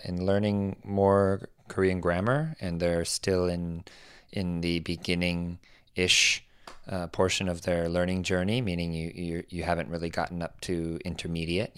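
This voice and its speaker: Deep-voiced male co-host, calm and measured, dry studio sound. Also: clean tone, dry recording. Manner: measured, slow when explaining, easygoing. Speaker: podcast co-host and teacher. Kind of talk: casual podcast chat.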